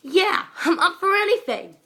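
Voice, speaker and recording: A woman's voice: very high-pitched, big pitch swings, moderate pace, sharp tone, voice pushed up, exaggerated voice. A young woman voicing an eager, bold female pegasus pony in a story reading. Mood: confident. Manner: eager, exclaiming.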